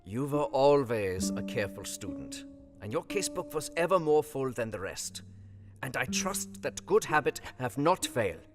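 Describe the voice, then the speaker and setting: An actor playing a wise older professor in an audio drama, kindly and grave, with emphatic, halting counsel in foreign phrasing. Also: male voice, medium pitch, big pitch swings, natural voice. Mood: grave, earnest. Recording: long reverb, music behind. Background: guitar music.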